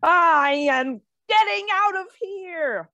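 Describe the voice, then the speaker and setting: Tiny, very high-pitched timid shrub creature. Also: female voice, expressive, slow, sharp tone, no echo, lo-fi sound, heavily acted. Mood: scared. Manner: childlike, fearful. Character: small, timid, panicky talking shrub creature. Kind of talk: animated fantasy table read.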